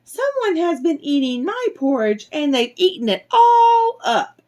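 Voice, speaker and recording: A woman plays a tiny storybook bear cub with a squeaky, high-pitched voice. He is plaintive and childlike, crying out in upset. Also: very animated, measured pace, mellow, lo-fi sound.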